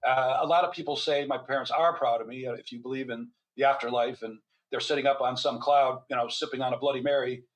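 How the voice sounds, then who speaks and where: A man's voice: medium pitch, fairly even intonation, fast, hardly any pauses, poor audio. An older man speaking as an interview guest, telling anecdotes in a rambling, conversational way. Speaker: guest author and physician.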